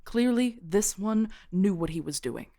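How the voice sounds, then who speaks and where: A woman's voice: mid-range voice, expressive, a little slow, dark tone, no room sound, studio quality. A woman voicing a guarded, uneasy vampire detective in an audio drama, talking in a careful, hesitant way. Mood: tense, businesslike.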